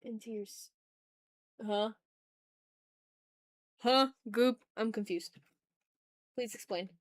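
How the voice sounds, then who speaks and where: A woman's voice: a little high, takes it slowly, no room sound. Playful, slangy woman streamer, dry close sound. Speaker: art livestream host. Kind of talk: casual livestream chat while drawing.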